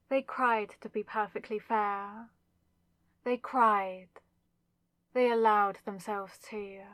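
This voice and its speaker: A woman's voice: mid-range voice, dry recording. A woman playing a haughty, villainous spirit in a possessed body, taunting and theatrical with mock sweetness, in a scripted ASMR roleplay. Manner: taunting, theatrical, mockingly sweet, savouring words.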